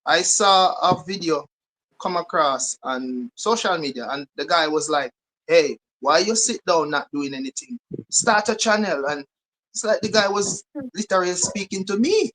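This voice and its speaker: A man's voice: high-pitched, lively intonation, moderate pace, fairly bright tone, a little hiss or hum, poor audio. A man speaking as a YouTuber guest in a casual chat, upbeat and promotional.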